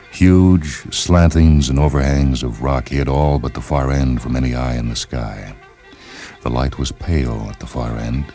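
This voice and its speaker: A man narrating a science fiction short story in a measured, literary storytelling manner. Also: rumbling low, dark tone. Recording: music behind the voice.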